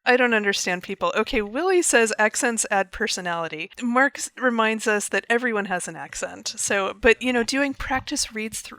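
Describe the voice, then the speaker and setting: A woman's voice: mid-range voice, fairly bright tone. A woman hosting a casual video call chat, chatty and laughing often as she talks. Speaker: host of a creator hangout.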